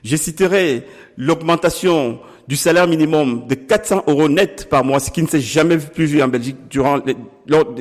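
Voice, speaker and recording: Measured middle-aged Cameroonian-born man. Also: fairly high pitch, in a large hall. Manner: deliberate, formal, with pauses and some restarts. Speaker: political candidate. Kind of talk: measured campaign interview answers.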